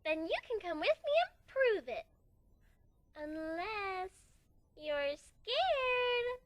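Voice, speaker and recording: A man voicing a cheerful, excitable pegasus mare in a squeaky, gushing, sing-song voice for an amateur dramatic story reading. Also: drawn-out words, exaggerated voice. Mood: bubbly, excited. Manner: bouncy and excited, teasing. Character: cheerful pegasus mare, bubbly, turns demented.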